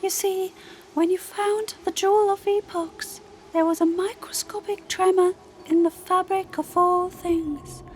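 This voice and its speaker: A woman voicing an ancient, self-righteous celestial sister in an audio drama, with a formal, measured, lecturing manner. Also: extremely high pitch, steady tone, slight background noise. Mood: grave, explanatory.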